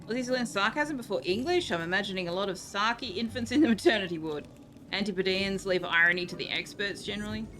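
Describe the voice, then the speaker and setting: Brief: Australian female streamer, dry and sarcastic, mid pitch, over game music. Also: fast talker, fairly bright tone. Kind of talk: casual gameplay commentary, reading game text aloud.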